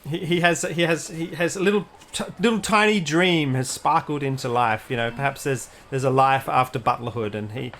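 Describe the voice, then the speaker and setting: A man narrating as game master, animated and hesitant, laughing often. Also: medium pitch, brisk, hardly any pauses, slight background noise.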